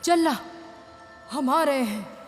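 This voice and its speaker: A woman's voice: high-pitched, animated, slow, faint music behind. A woman voicing an eager young seafarer who tells of a voyage in a cartoon dub, with long reverb on the voice. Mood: excited.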